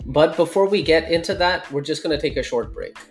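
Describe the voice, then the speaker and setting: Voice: medium pitch, brisk. A podcast host in their late thirties explaining health topics in a casual way, often checking in with the listener. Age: 30s. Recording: roomy echo with faint music.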